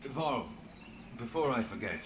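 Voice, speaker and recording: A man's voice: mid-range voice, animated, measured pace, roomy sound, noisy background, close to an everyday voice. A man playing a reserved, weary returning hero in a fantasy video game. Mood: reserved, subdued.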